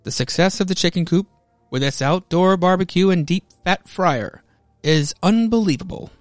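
An American man hosts, reading comic-book narration theatrically and adding casual commentary. His voice sits a little high for a man. The sound is close and dry. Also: normal speed, no echo, faint music behind. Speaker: podcast host.

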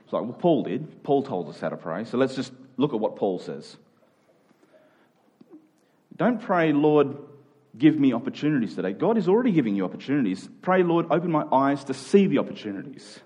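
A male preacher with a somewhat husky voice at an average pitch. He delivers his sermon conversationally, in informal, everyday phrasing, and leans hard on key phrases by repeating them. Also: very animated, moderate pace, mellow.

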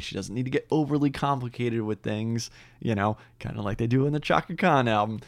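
A man's voice: fairly low pitch, no room sound. A man co-hosting a podcast, reviewing an album in casual chat and repeating words for emphasis.

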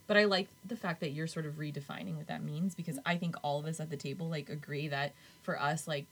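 A woman's voice: deep voice, steady tone, quick pace, slightly rough. A young woman co-hosting a podcast, chatting casually with frequent laughs and lots of "like". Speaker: podcast co-host. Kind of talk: casual chat.

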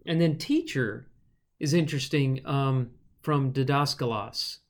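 A man with a thin, reedy voice, co-hosting a podcast and explaining Bible passages in a thoughtful, unhurried way, with frequent fillers. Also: mid-range voice. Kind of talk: casual teaching conversation.

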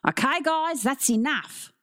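A woman's voice: mid-range voice, big pitch swings, moderate pace, voice pushed up, natural voice. A woman voicing a young Australian male sports teacher in an audiobook, breezy, slangy and matey while giving orders. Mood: commanding. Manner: breezy, slangy, commanding but friendly.

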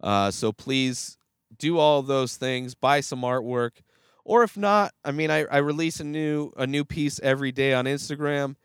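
A man with a blocked, nasal nose from a cold hosts a laid-back movie review podcast. He rambles and swears freely, restarting sentences and filling gaps with ums. His voice is smooth and clear, at a middle pitch, in a dry close recording. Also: a little slow, dry recording. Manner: rambling, profane, with many fillers and restarts.

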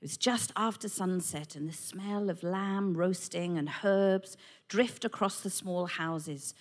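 A woman with a husky voice tells a scripture story with dramatic, unhurried pacing. Her voice sits a little low for a woman. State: husky this morning. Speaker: Bible storyteller.

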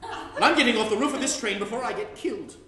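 A high, lively male actor's voice as a weary, gloomy retired spy in a stage comedy. He sounds earnest yet sardonic and exasperated, recorded live in an echoey room. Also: fast, crowd noise. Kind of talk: live staged reading of comic play. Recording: roomy live recording, faint noise.

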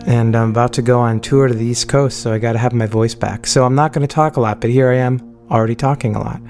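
A man's voice: mid-range voice, fairly even intonation, fast talker, a little mellow. Man with a tired voice over music. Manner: rambling, self-correcting, chatty. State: losing his voice. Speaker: podcast host. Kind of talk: casual spoken intro. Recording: music playing underneath.

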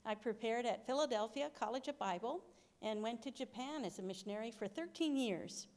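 Higher-voiced American woman in an echoing hall. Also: fairly quick, darkish tone. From New Jersey, United States. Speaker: missionary. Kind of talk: prepared testimony to a congregation. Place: church hall with long reverb. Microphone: handheld microphone. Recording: reverberant hall.

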